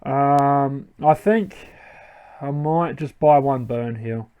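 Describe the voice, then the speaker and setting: A man's voice: medium pitch, takes it slowly, smooth, highs cut off. A young man hosting a let's play, giving casual commentary and thinking aloud with many ums.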